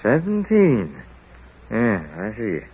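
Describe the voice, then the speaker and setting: A man playing a kindly, gently moral small-town family doctor in old-time radio drama, speaking in a folksy, hesitant, musing way. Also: medium pitch, huge pitch swings, takes it slowly, a little hiss or hum. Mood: concerned, resigned. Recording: dull old radio broadcast recording.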